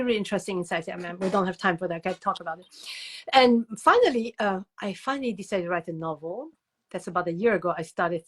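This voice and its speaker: Older woman, mid-pitched, interview guest, conversational, animated storyteller, digressive, emphatic repetition. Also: husky. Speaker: guest author and educator.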